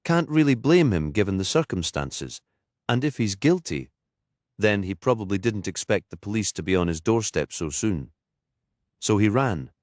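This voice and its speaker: A man voicing a gruff, sardonic police detective. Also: lowish voice, sing-song, normal speed, darkish tone, no room sound, studio quality. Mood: matter-of-fact. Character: gruff, sardonic male police detective, world-weary and commanding.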